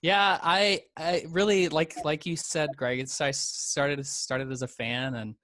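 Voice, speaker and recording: A man who does volunteer voice acting, chatting casually on a live stream with halting, repeated starts. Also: fairly high pitch, fairly even intonation, pushed and bright, no room sound. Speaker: volunteer voice actor.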